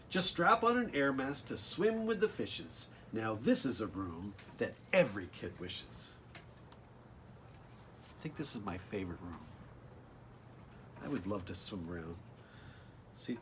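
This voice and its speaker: A man's voice: medium pitch, a bit bright, slight background noise. A man reading a children's picture book aloud expressively, with casual asides addressed straight to young listeners. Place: outdoors.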